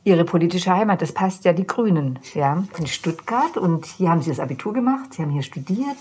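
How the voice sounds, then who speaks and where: A woman's voice: lowish voice, lively intonation, fairly quick, a little mellow, a little hiss or hum. A woman interviewer asking a guest probing, conversational questions.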